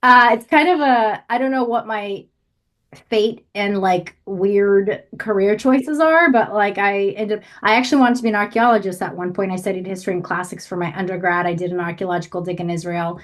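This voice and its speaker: A woman's voice: medium pitch, a little fast. A woman from Russia, a writer and guest on a podcast, answering casually and thinking aloud with fillers. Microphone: remote call.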